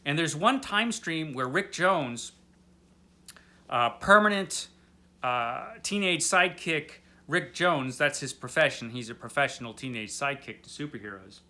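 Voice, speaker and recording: Kinda drunk guy doing a rambling review, bright highish voice, tongue in cheek. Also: lively intonation, measured pace. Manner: tipsy, rambling. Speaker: host of a comic book read-along. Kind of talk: casual rambling review to camera.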